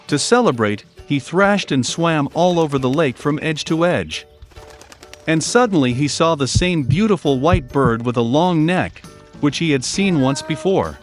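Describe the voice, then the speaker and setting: A man narrating a children's fairy tale in a gentle, evenly paced storytelling manner. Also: a little high.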